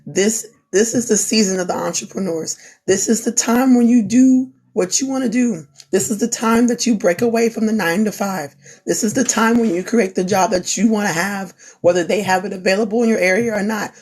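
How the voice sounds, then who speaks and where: A woman's voice: mid-range voice, a little fast, a little mellow, husky. A young woman who is losing her voice gives an earnest, repetitive pep talk on a live stream. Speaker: small business owner, crafter. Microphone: phone.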